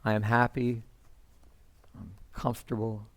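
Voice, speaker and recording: Man acting as a sentimental storyteller guy, reflective, measured phrases, radio theater monologue, really dry recording. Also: on the low side, level tone, no echo. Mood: contemplative, content. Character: reflective, sentimental male storyteller recalling his upbringing. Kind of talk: staged first-person storytelling monologue.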